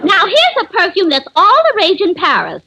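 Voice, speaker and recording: A sassy department store perfume clerk in old radio comedy. She pitches her brisk patter high and swings it widely. Clear hiss runs through the vintage recording. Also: wildly swooping pitch, dull audio, crowd noise, heavily acted. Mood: pert, selling. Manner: sales patter, dryly sassy. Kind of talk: 1930s radio comedy acting. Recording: hissy 1930s radio recording.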